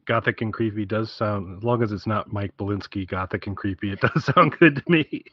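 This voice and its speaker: A man's voice: fairly low pitch, fairly quick, husky, dry recording, dull audio. A man co-hosting a podcast, chatting casually in a rambling, wry way. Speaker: podcast co-host.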